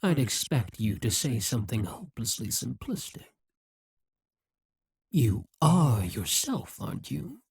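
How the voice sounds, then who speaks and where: A man voicing a possessive, scheming demon king in an audio roleplay, speaking slowly and condescendingly. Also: medium pitch, very animated, gravelly, breathy edge, no echo, full character voice. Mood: brooding. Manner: condescending, slow and musing.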